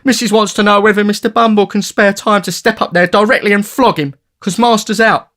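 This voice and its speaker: A man's voice: sits high, fairly even intonation, brisk, fairly bright tone, voice pushed up. List: man voicing a teenage boy, sneaky, whining, storybook. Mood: tattling. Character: sneaky, tattling charity boy, a teenage apprentice.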